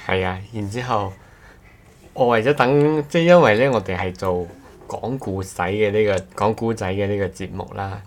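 A male co-host with a low voice and a Cantonese accent. He talks in a casual, anecdotal way, looping back to repeat and restart phrases. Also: normal speed. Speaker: podcast co-host.

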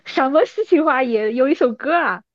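Female guest, 30s, fairly high voice, playful coaxing chat among friends, very dry and a bit dull sound. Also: a little fast, no echo. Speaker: podcast guest. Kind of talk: casual chat between old friends.

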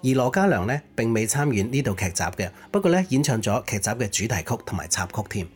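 A man's voice: medium pitch, big pitch swings, quick pace. A man speaking Cantonese hosts a radio music show, narrating pop history in an informative way.

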